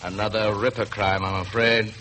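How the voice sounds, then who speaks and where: Actor as a bright, crisp police inspector. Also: male voice, on the low side, little pitch movement, moderate pace, lightly acted. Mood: official, courteous. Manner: courteous, formal, crisp. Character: polite, businesslike Scotland Yard police inspector. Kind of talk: 1940s radio drama acting. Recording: noisy 1940s radio recording.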